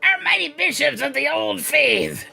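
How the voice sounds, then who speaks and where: A man reading game dialogue as a pompous cultist leading a ritual, theatrical and drawn out, in a creaky, sharp voice. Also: extremely high pitch, big pitch swings, a little slow, faint music behind, voice pushed up, heavily acted. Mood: pompous, indignant.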